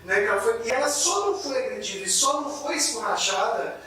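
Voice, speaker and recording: Brief: high-voiced, bright, indignant man from Goiás; political co-host; distant mic in an echoey room. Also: lively intonation, soft background music. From Goiás, Brazil. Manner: indignant, halting with many restarts. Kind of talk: casual political discussion.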